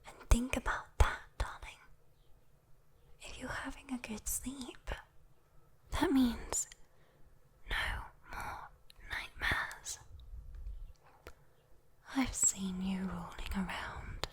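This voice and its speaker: A woman with a British accent voicing a sweet, teasing, possessive demon girl in a soft, intimate whispered ASMR roleplay. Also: a little high. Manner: slow, coaxing, intimate, playful. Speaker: ASMR voice performer.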